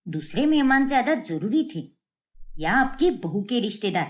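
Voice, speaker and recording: A woman's voice: on the high side, big pitch swings, moderate pace. A woman voicing a haughty, bitter older mother-in-law with a sarcastic, taunting way of talking in a cartoon story. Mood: indignant. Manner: taunting, indignant.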